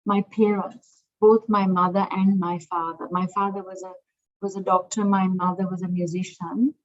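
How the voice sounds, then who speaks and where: A middle-aged woman from Sri Lanka, a museum director speaking as a guest in an interview, thoughtful and searching for words with many pauses and fillers. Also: fairly low pitch, flat delivery. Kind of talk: interview conversation. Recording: dull lo-fi sound.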